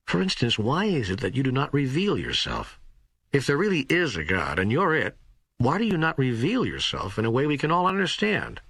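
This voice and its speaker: A man's voice: mid-range voice, wildly swooping pitch, normal speed, fairly bright tone, husky, dry recording, clean studio sound, full character voice. A man playing an earnest seeker who questions and protests to God in an audiobook dialogue. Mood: searching, earnest.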